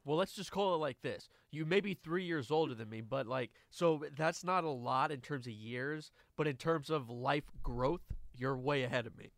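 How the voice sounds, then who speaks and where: A man's voice: fairly high pitch, normal speed, few pauses, no echo. A man around thirty, co-hosting a radio show with short, matter-of-fact replies in casual banter. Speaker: radio co-host. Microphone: studio mic.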